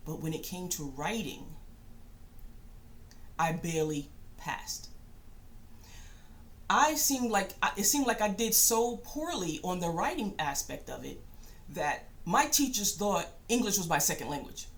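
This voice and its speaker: A middle-aged woman giving a reflective personal monologue in a thoughtful, halting way, often pausing and rephrasing. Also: on the low side, big pitch swings. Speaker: spiritual channel host and self-published author.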